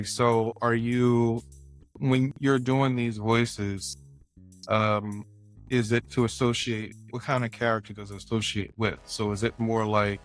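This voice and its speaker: A man hosting an interview, asking long, rambling questions in a casual and affirming way. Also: medium pitch. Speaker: host.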